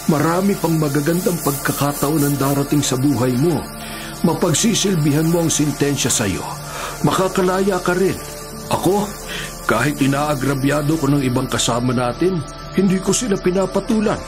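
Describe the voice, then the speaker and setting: Actor as kindly old Filipino prisoner, music behind. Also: male voice, on the high side, close to an everyday voice. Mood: earnest, pleading. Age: older. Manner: preachy, earnest, measured. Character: kindly, patient, devout elderly male prisoner giving counsel. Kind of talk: Filipino radio drama acting.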